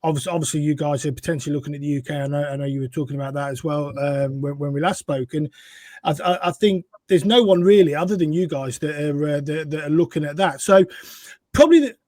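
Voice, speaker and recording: A man's voice: a little high, quick pace, dark tone, no room sound, clean studio sound. A man hosting a podcast, asking questions in a casual way and often stumbling over and repeating his words. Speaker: podcast host. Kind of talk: casual interview chat.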